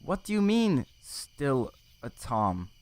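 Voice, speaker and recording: A man's voice: mid-range voice, wildly swooping pitch, takes it slowly, darkish tone. A man voicing a curious, naive young house cat, hesitant and questioning, in an audiobook drama. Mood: puzzled. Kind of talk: audiobook fandub acting.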